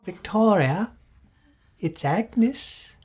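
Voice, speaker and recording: A woman voicing a gentle female spirit who speaks through a medium, soft and calm, in old-time radio drama style. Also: medium pitch, drawn-out words, breathy edge, heavily acted. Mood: serene.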